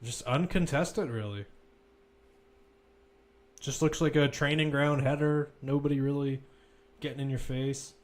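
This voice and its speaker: A man's voice: mid-range voice, unhurried, long pauses. Man calling live football play-by-play. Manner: informal, conversational analysis between calls. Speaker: football commentator.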